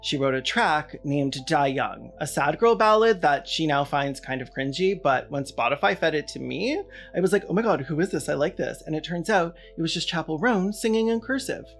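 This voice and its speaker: Male video essayist, fairly high register, wry scripted commentary over faint music. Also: expressive, normal speed, creaky, few pauses, a bit bright. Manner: sarcastic, wry asides.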